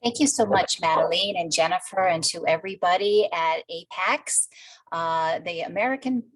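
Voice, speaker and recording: Woman moderator with a fairly low voice, friendly, a bit halting on a video call. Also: poor audio. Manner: friendly, hesitant, self-correcting. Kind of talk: conversational interview.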